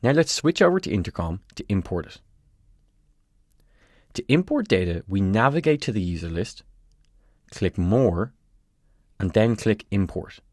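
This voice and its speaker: A man presenting a scripted tutorial, giving clear step-by-step instructions in a close, dry voice-over recording. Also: on the low side, big pitch swings, a little slow, long pauses, a little mellow, no room sound.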